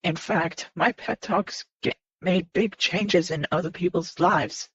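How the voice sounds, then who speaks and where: A person speaking unscripted to viewers in a personal confession, earnest and halting, often repeating phrases and starting over. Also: mid-range voice, a bit bright, breathy edge. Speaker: video creator. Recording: muffled lo-fi mic in echoey room.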